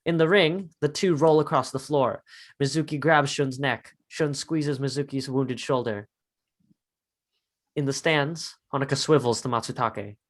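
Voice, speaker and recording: A man's voice: medium pitch, a little slow. A man narrating a script, reading out stage directions in short phrases.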